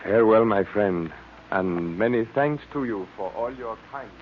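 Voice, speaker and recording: A gentle, courtly gentleman, played by a male actor in a vintage radio serial. He speaks formally in a lowish voice, measured and polite, through a tinny, slightly noisy recording. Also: lively intonation, close to an everyday voice. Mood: warm, grateful. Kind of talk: 1930s-40s radio serial acting.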